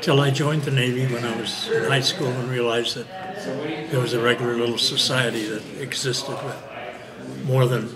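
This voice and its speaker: A man's voice: mid-range voice, fairly even intonation, moderate pace, a loud crowd. A man in his eighties, reminiscing with wandering phrasing in a documentary interview. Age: 80s.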